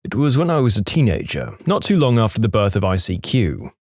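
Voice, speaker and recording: A man's voice: on the low side, wildly swooping pitch, a little fast, darkish tone, no room sound, clean studio sound. A man narrating read-aloud online stories in a scripted storytime style, as the host of a channel.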